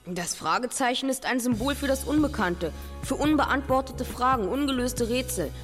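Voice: sits high, expressive, moderate pace, a bit bright, soft background music. An actor playing a confident, articulate teenage boy detective in a radio play, explaining things in a precise, formal way. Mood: self-assured. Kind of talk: German radio play acting.

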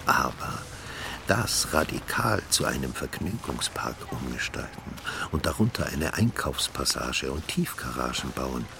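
An actor playing an insistent, self-justifying urban planner in a radio drama, explaining himself in a gravelly voice. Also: male voice, a bass voice, background noise, full character voice. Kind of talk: naturalistic radio drama acting.

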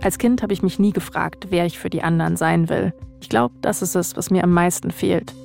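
A woman's voice: on the low side, fairly even intonation, brisk, gravelly, darkish tone, soft background music. A woman, hosting a podcast and narrating from a script. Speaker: podcast host.

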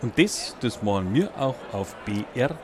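A man with a Bavarian accent, a radio reporter narrating a feature and interviewing in a lively, folksy way. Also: medium pitch, moderate pace, background noise.

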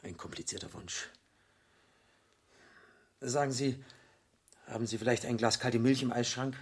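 An actor playing a suave, courteous devil in a German radio drama, speaking with polite, ceremonious smoothness. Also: male voice, medium pitch, unhurried. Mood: businesslike, indulgent. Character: suave, courteous male devil posing as a foreign gentleman.

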